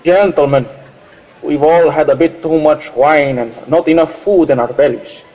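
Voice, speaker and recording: An actor playing a cautious, diplomatic Spanish priest who speaks in a measured, formal way in a radio drama. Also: male voice, on the high side, darkish tone, highs cut off, lightly acted. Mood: admonishing. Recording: long hall reverb, music underneath.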